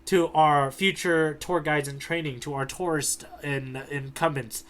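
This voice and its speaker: A man's voice: a little high, steady tone, unhurried, a bit bright. A man co-hosting a podcast in casual comedic chat, wryly riffing on jokes as he goes. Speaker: podcast co-host.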